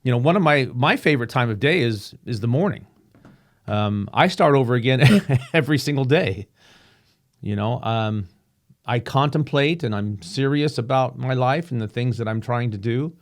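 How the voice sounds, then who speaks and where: A man hosting his own podcast, talking earnestly and reflectively, with frequent restarts and a slightly rough voice. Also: mid-range voice. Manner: earnest, halting, with many restarts and fillers. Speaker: podcast host. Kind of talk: reflective solo podcast monologue. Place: home room with a painting behind him.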